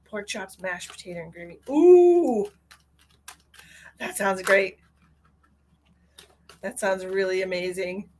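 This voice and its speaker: A woman's voice: mid-range voice, takes it slowly, pauses often, darkish tone, slight background noise. Lady psychic, kinda stuffed up, chatty and sweet to her viewers. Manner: chatty and affectionate, addresses viewers directly. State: stuffy, congested. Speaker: psychic tarot reader. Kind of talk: live-stream tarot reading, chatting with viewers.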